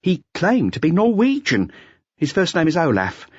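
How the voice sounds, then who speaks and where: A wary, gossiping local informant, acted by a male audiobook reader talks in a raised, animated voice. The recording is dry and slightly muffled. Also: a little high, normal speed, dry recording, pitched above the performer's own voice.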